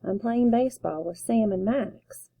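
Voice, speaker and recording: A woman's voice: on the high side, unhurried, vocal fry, warm tone, dry recording. A woman voicing a dismissive older brother who answers curtly and scornfully. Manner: curt, scornful.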